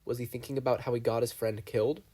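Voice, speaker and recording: Give me a man narrating a story aloud, husky and low-toned. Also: level tone, fast talker, dark tone. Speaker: podfic reader. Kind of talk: reading fan fiction aloud.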